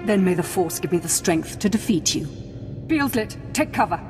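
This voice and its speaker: A woman's voice: mid-range voice, expressive, normal speed, natural voice. A woman playing a proud, scheming middle-aged noblewoman in a video game, imperious, formal and dramatic. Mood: indignant. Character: proud, scheming Sith noblewoman and protective mother. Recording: long hall reverb, faint music.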